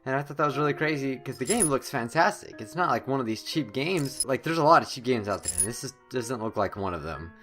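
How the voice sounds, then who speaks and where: A man's voice: medium pitch, expressive, fast, hardly any pauses, a bit bright. A young man gives casual, off-the-cuff commentary as he hosts a gaming video. He drops in sarcastic jokes, and music plays behind him. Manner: joking, sarcastic asides. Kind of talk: casual let's play commentary.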